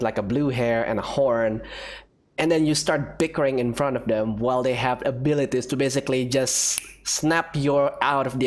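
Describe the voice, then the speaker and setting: A man giving casual commentary as he plays a video game. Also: medium pitch. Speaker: gaming video host. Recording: faint game music behind the voice. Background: game music.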